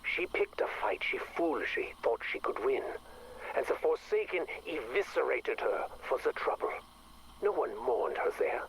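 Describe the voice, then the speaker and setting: An actor playing a bitter, scornful scientist with a temper in a video game audio log, sardonic and deliberate. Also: male voice, mid-range voice, very animated, a bit bright, a little hiss or hum, low-quality recording. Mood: cold, mocking.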